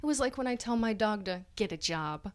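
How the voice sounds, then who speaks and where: A woman's voice: medium pitch, expressive, brisk. Female podcast co-host, casual comedic read-aloud, mocking tone with giggly asides.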